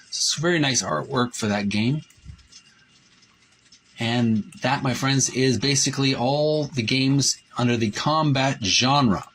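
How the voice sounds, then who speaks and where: A man hosting a video channel, narrating a show-and-tell of a book, reading copy aloud and pausing between short phrases. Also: mid-range voice, fairly bright tone, a little hiss or hum, rough recording.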